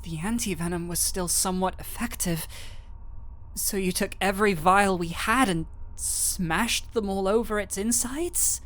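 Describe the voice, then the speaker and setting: A woman playing a gentle, desperate doctor in a fantasy audio roleplay, with halting, emotive, breathy delivery. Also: medium pitch, lightly acted. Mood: relieved, weary. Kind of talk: dramatic audio roleplay acting.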